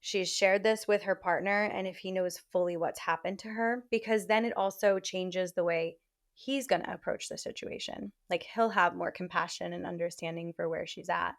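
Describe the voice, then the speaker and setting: A woman's voice: fairly low pitch, steady tone, moderate pace. A woman hosting a podcast, chatting frankly and casually and giving relationship advice with many "like" fillers. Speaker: podcast host.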